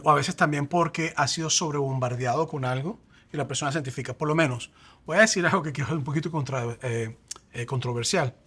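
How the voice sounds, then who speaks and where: A man, a pastor, explaining faith and identity in a lively conversational way, acting out quoted examples. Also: a little high, slightly rough.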